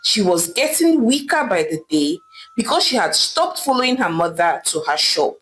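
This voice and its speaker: A woman's voice: mid-range voice, sing-song, normal speed, a bit bright, faint music behind, low-quality recording. A woman narrating a folktale in a dramatic, scripted storytelling style.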